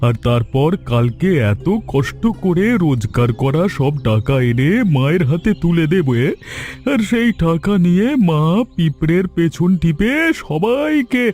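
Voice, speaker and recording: A man's voice: a little high, mellow. A man playing a lazy, smug idler brother in a cartoon, grumbling and mocking. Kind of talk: Bengali cartoon story acting.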